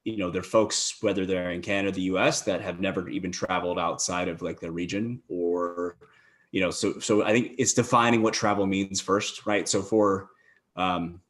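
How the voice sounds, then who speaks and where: A man's voice: low voice, fairly even intonation, normal speed. A man talking as a podcast guest in a thoughtful way, with lots of "you know" fillers. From United States. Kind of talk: casual conversation.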